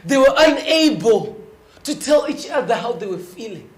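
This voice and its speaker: A woman narrating a stage play as a solemn storyteller, in measured, scripted phrases. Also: fairly high pitch, expressive, a little mellow, natural voice. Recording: echoey room.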